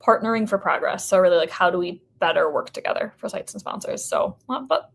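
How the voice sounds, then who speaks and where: American woman, kinda creaky voice, chatting informally on a podcast, lots of likes and sort ofs. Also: lowish voice, fast talker, fairly bright tone, slight background noise. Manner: informal, hedging, frequent "like" and "sort of". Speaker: podcast guest, company representative. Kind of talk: casual interview conversation.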